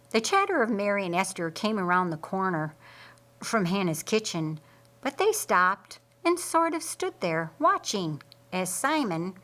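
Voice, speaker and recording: Slow-paced woman narrating a story. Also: medium pitch, bright, forceful tone. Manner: measured, deliberate storytelling. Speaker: audiobook narrator.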